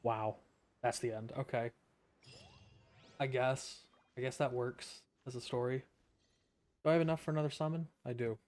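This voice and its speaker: A man's voice: mid-range voice, steady tone, moderate pace, long pauses, darkish tone. A man streaming a game, chatting casually with sarcastic remarks while he hums and scats along. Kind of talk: casual gameplay commentary.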